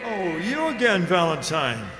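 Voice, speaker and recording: A man's voice: high voice, very animated, a little slow, over music. A man playing a busy, hesitant customs clerk in an old-time radio drama, with a distracted, muttering delivery. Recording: reverberant hall, stage-like sound.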